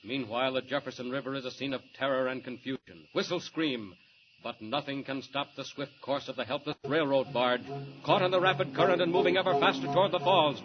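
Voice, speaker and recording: A man's voice: medium pitch, brisk, lo-fi sound. A man narrating a radio serial in an urgent, declamatory style. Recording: hissy vintage radio broadcast.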